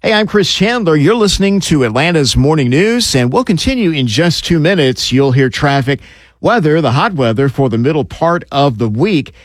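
A man's voice: a little high, very animated, moderate pace, no echo, clean studio sound. A man anchoring morning radio news in a chatty, conversational way.

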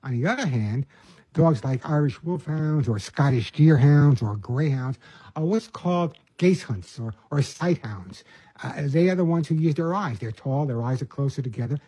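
A man's voice: a little high, animated, normal speed, warm tone, slightly rough, no room sound, low-quality recording. An older man from Long Island, a pet show host and dog trainer, talking casually in a rambling way with restarts and folksy asides. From Long Island, New York. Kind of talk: casual monologue.

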